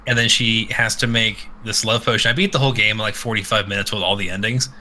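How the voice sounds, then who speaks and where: A man hosting a casual live podcast, rambling and swearing freely as he gives animated opinions. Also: lowish voice, quick pace, a little hiss or hum, poor audio. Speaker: podcast host. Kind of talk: casual opinionated live chat about games.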